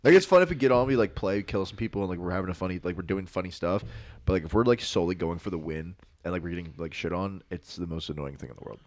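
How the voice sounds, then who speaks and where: A man's voice: low-pitched, animated, quick pace, darkish tone, no room sound. A young man co-hosting a podcast, telling rambling stories in casual chat, filling his talk with "like". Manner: rambling storyteller, lots of "like" fillers. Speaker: podcast co-host.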